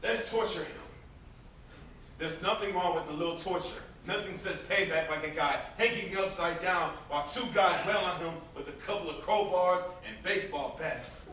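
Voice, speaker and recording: A man's voice: high voice, moderate pace, bright, forceful tone. A young man acting a vengeful, grieving, hot-headed brother in a stage play, insistent and confrontational. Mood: grimly persuasive. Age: young adult. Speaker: student stage actor. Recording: distant, very echoey, noisy stage recording.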